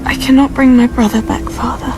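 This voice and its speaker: A woman's voice: high-pitched, level tone, fairly quick, noisy background, lightly acted. An actress playing a determined, solemn witch and scholar in a video game. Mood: solemn resolve.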